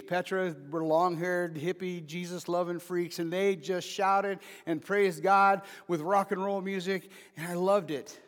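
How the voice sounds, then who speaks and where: A man in his fifties preaching an informal sermon as pastor, mixing joking asides with emphatic calls to the congregation. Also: a little high. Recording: preached in a reverberant hall.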